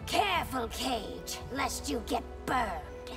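High-voiced, haughty, creaky warrior princess. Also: female voice, very animated, slow, big-room sound, over music, heavily acted. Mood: haughty scorn. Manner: haughty, disdainful, formal. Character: imperious warrior princess, young woman, scornful and proud. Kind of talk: video game fight-intro banter.

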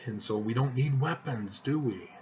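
A man's voice: medium pitch, moderate pace, darkish tone, lo-fi sound, slight background noise. A middle-aged man talking casually to camera from a small living room, rambling and earnest, with restarts and chuckles. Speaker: vlogger. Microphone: webcam at desk distance.

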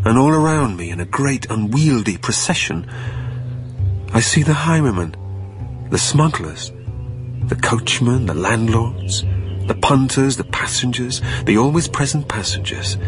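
Haunted, wistful man in radio drama; unhurried, poetic, deliberate delivery over clear music bed. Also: on the low side. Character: haunted, wistful man facing his own death. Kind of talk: intimate radio drama monologue.

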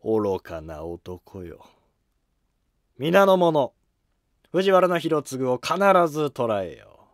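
A commanding old-school general of a historical drama, acted out by a man. He speaks slowly and weightily, composed and taunting, in theatrical phrases over music. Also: medium pitch, very animated. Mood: confident, commanding. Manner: slow, weighty, theatrical delivery.

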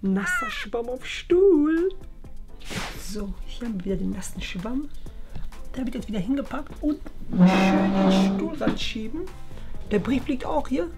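A woman's voice: a little high, big pitch swings, unhurried, loud music behind the voice. A woman playing a cheeky young schoolboy prankster in a children's comedy skit, sly and blustering. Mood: scheming.

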